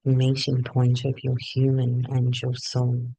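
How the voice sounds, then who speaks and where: A man leading a guided meditation in short phrases with long pauses between them. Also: mid-range voice, monotone, creaky, dark tone, breathy edge, dry recording, poor audio.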